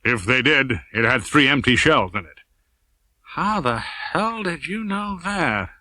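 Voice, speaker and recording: A man's voice: mid-range voice, lively intonation, a little slow, bright, forceful tone, dry recording, heavily acted. A man voicing a brash, wry, tough-talking male police detective in an audiobook crime story. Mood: guarded, surprised.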